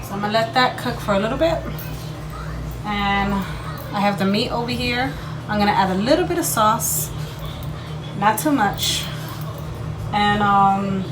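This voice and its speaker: A woman chatting informally and directly to her viewers as she films a home cooking video. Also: medium pitch. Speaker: home cook vlogger. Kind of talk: casual chat to viewers while cooking. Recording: clearly noisy home video recording.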